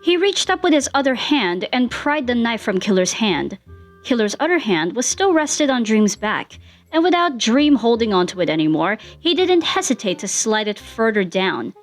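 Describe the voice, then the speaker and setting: A woman's voice: fairly high pitch, sing-song, moderate pace, faint music behind. A woman working as narrator, reading a fan fiction story aloud in a dramatic storytelling style.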